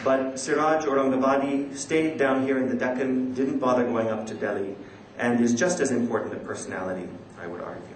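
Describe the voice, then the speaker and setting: A man giving a scholarly conference lecture in a measured, somewhat hesitant and self-deprecating manner. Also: mid-range voice, fairly even intonation. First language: not a native Urdu speaker, which the talk quotes. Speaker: academic presenting a conference paper. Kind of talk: scholarly lecture with poetry recitation. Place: large indoor conference hall. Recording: echoey conference hall, background noise.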